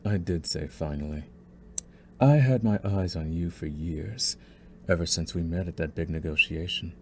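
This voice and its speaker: A man playing a possessive, scheming young mafia boss in an ASMR roleplay, smug and deliberate, with mock courtesy. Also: low-pitched, darkish tone, a little hiss or hum. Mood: smug, admiring. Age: young adult.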